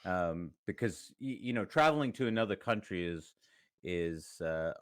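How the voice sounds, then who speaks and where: A man's voice: sits low, expressive, normal speed, no echo, clean studio sound. A man from Canada, hosting an interview, asks long questions hesitantly with many fillers. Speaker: podcast host.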